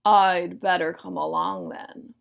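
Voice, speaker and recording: A big, sleepy, grumpy storybook bear, played by a woman, growling and snarling with a gravelly edge. He takes his words slowly and heavily. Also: lowish voice, steady tone, full character voice. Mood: grudging. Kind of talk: children's storybook read-aloud.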